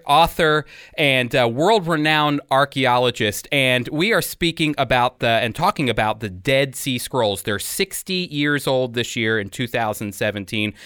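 A male radio host with a bright, forceful voice leads an interview. He talks emphatically and straight to his listeners. The sound is dry and close, as on a studio microphone. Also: medium pitch, smooth, no echo. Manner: emphatic, addresses listeners directly.

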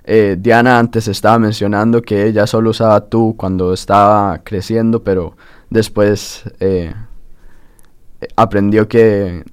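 A young man, a student, speaking thoughtfully in a group discussion, pausing often with "eh". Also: fairly low pitch, little pitch movement, smooth. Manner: measured, thoughtful, many "eh" pauses.

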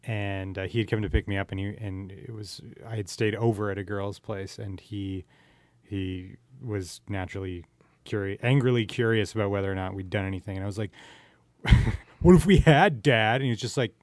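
A man's voice: on the low side, moderate pace, darkish tone, no room sound. A man hosting a casual podcast chat, informal and candid, asking questions and swearing freely. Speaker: podcast host.

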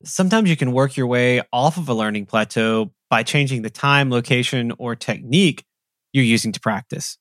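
Male podcast co-host, mid-pitched, loose chatty delivery, dry close mic. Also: moderate pace, no echo. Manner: relaxed, rambling with frequent "like" fillers. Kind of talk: casual conversation.

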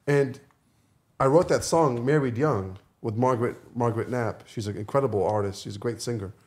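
A man's voice: mid-range voice, a little fast, a little mellow. A man who is a podcast guest and rapper, chatting casually and informally in a studio, often repeating his phrases as he goes.

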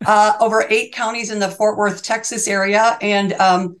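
A woman's voice: mid-range voice, fairly even intonation, normal speed. A woman in her sixties, a reverse mortgage specialist guesting on an interview show, talking in earnest, fervent, run-on sentences that she often restarts. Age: 60s. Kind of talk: interview conversation.

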